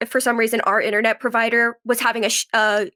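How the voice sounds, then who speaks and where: A woman in her twenties chatting casually as an interview guest, telling stories in an animated way with quoted asides. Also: a little high, fast talker. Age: 20s. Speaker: podcast guest, actress and writer.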